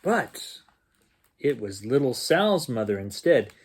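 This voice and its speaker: A man reads a children's bedtime story in a husky voice. His pitch moves around a lot, giving the storybook narration an expressive, playful shape. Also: slow pace. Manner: expressive, acts out sounds and dialogue. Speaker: storyteller reading a children's picture book. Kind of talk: animated bedtime story reading with playful asides.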